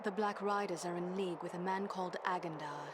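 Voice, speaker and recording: A woman's voice: lowish voice, steady tone, brisk, very noisy, close to an everyday voice. A woman playing a composed, courteous and determined elf adventurer in a fantasy game, speaking formally. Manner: formal, poised. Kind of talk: fantasy game cutscene acting.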